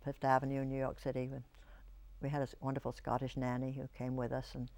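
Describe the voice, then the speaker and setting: An older woman, an actress, reminiscing in an interview, telling stories in a reflective, halting way. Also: rumbling low, completely flat delivery, mellow.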